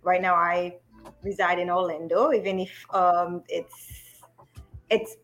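A woman's voice: fairly low pitch, fairly even intonation, takes it slowly, fairly bright tone, soft background music, rough recording. A middle-aged woman from Haiti, a guest on a podcast who talks about herself in a hesitant way, with many ums and frequent laughs. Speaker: podcast guest, business coach. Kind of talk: casual podcast interview.

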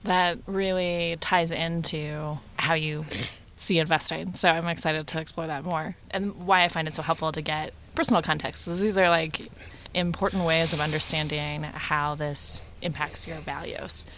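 A woman hosting an interview, asking questions attentively with soft murmurs. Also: low-pitched, fairly even intonation, normal speed, slight background noise. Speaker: interview host. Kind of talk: conversational interview.

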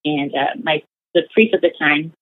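Low-voiced young woman on a tinny line. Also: moderate pace, dry recording. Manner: chatty, many fillers like "like" and "you know". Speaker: interviewee. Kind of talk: casual conversational storytelling.

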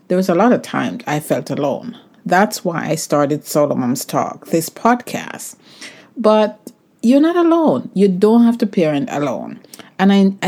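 A woman's voice: on the low side, a little mellow. A middle-aged woman hosting a podcast, reading a reassuring promo and repeating her point to make sure it lands. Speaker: podcast host. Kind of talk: promo read for her coaching calls.

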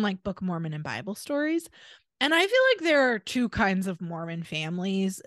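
A woman with an American accent chats casually as a podcast co-host. Her voice is middling in pitch, and she tells stories in a wry, chatty way. The sound is very dry, with little room to it. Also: dry recording. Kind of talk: casual chat.

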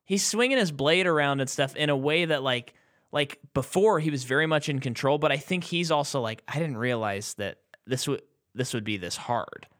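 A man's voice: fairly high pitch, normal speed, a bit bright. A man co-hosting a podcast, chatting casually about a movie and acting out quotes with animation. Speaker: podcast co-host.

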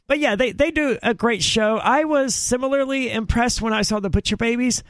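A man's voice: very high-pitched, normal speed, fairly bright tone, no echo. A man hosting a casual podcast chat, talking in rambling, self-correcting bursts with emphatic asides. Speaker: podcast host. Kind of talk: casual chat about metal bands.

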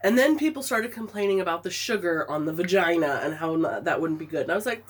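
Woman, podcast co-host, stuffy head cold, husky voice, lively swooping pitch. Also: fast. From Pacific Northwest. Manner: animated storytelling, laughing often. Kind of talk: casual chat.

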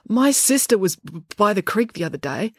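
A woman's voice: mid-range voice, big pitch swings, husky, no room sound, clean studio sound, pitched above the performer's own voice. A woman voicing a hesitant boy who stammers and stutters on his words as he talks. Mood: hesitant, earnest. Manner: halting, stuttering on first sounds.

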